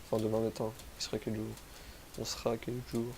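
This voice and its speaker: A man's voice: fairly low pitch, monotone, fast talker, noisy background. A young man from France with a cold, rambling through gameplay commentary, self-mocking and using crude slang. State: has a cold, sniffly. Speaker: gaming youtuber. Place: home, rural.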